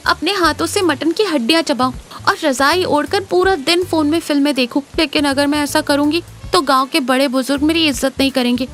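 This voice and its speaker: Woman as a high-voiced prim young schoolteacher. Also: fairly bright tone. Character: prim young schoolteacher, 23, dutiful but secretly fed up.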